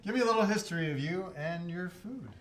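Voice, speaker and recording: A man hosting a studio food show, greeting viewers with drawn-out enthusiasm and chatting easily with his guest. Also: high voice, expressive, a little hiss or hum. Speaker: host. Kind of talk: casual interview.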